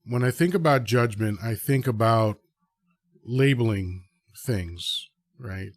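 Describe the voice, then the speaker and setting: Male podcast host, late fifties, from the Dominican Republic. Slow, reflective, conversational solo delivery in a dry, close space. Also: mid-range voice, no room sound. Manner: conversational, musing, often checks with "right?".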